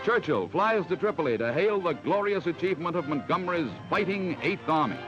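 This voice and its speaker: A man's voice: a little high, expressive, a little fast. An American man narrating a 1940s newsreel in a dramatic, emphatic declamatory style, on an old film soundtrack. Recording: dull vintage 1940s mono film soundtrack. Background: loud music.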